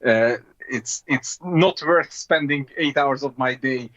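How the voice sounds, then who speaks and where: A man's voice: medium pitch, slow pace, a bit bright, no room sound. Dull lo-fi call, Hungarian-accented man. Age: 30s. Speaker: guest, board game designer. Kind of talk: casual interview chat. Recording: dull, lo-fi online call audio.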